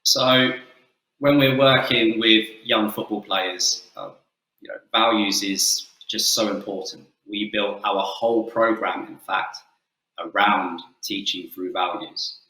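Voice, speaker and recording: Coach giving a talk, English accent, deep bright voice, earnest, roomy sound. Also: male voice, fairly even intonation, slow. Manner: earnest, with frequent "uh" fillers. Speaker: football coach and guest speaker. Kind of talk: presentation talk.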